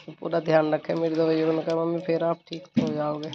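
A woman's voice: low-pitched, completely flat delivery, quick pace. A woman, chatting casually as a home vlogger. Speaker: village vlogger. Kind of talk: casual home vlog chat and narration. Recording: muffled phone audio with clear background noise.

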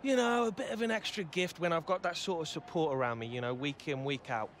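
A man's voice: fairly high pitch, fairly quick, a little hiss or hum, natural voice. A man playing a cheeky, easygoing young British footballer in a video game. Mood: modest, upbeat. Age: young adult. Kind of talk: video game cutscene dialogue.

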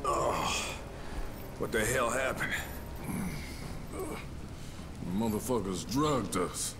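A man's voice: on the low side, takes it slowly, noisy background. An actor playing an angry, foul-mouthed, defiant American man held captive in a video game. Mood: groggy, wary. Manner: profane, combative. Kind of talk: video game cutscene acting.

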